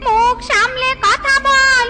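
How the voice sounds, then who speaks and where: A woman voicing a menacing cartoon female ghost bride who taunts and threatens in a drawn-out way. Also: very high voice, steady tone. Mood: taunting menace. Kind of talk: cartoon voice acting.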